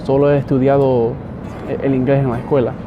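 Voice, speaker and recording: A young man from Miami speaks straight to the camera in a casual, halting way, circling back over his words as he goes. His voice sits at an ordinary middle pitch. The audio is dull and lo-fi, with loud music playing behind him. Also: flat delivery. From Miami, United States. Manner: hesitant, many restarts and fillers. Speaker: vlogger. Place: outdoors in a city.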